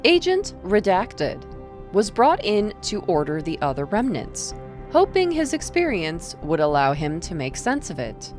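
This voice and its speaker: A woman playing a wry female archivist of eerie secret files in a scripted audio drama. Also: on the low side.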